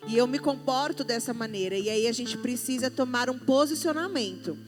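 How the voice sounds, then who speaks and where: A woman speaks with assertive conviction on a panel, in a preachy manner. Her voice is high. Music plays softly under her. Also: a little fast. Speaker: panelist at church youth service. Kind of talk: conversational church panel talk.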